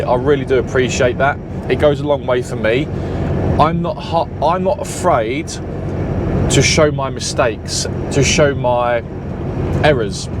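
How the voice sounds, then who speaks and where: A man with a Southern English accent, a lorry driver chatting casually and rambling a little as he drives, often correcting himself mid-sentence. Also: medium pitch. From Southern England. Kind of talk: casual vlog chat while driving. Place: lorry cab on the road. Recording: loud engine and road noise. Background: lorry engine and road noise.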